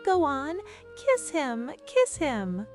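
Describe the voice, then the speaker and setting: A woman voicing an excitable, pampered little girl in a bedtime story, exclaiming and begging. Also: high voice, extremely slow, faint music behind, voice pushed up, heavily acted. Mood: teasing.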